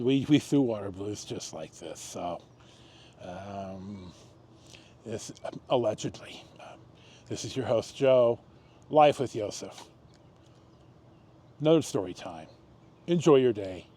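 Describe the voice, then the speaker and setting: An American man hosting a storytime, telling personal stories in a casual, rambling, halting way, reminiscing and correcting himself as he goes. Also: fairly low pitch, lively intonation, dark tone. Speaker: host of a personal storytime show.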